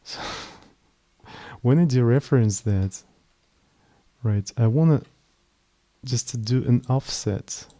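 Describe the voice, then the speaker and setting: A young man with a Slavic accent talks through code on a live stream, his voice mellow and darkish. He is worn out, halting and trailing off mid-sentence as he thinks aloud. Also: mid-range voice. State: mentally tired. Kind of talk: casual live coding stream.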